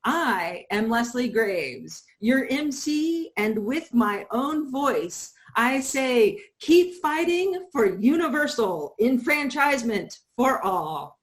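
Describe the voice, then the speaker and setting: Woman hosting a show, higher voice, takes her time, really enthusiastic announcer type. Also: big pitch swings, slow, hardly any pauses. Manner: enthusiastic, showy presenter delivery. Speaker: MC of a stage event. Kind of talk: introducing performers at a live event.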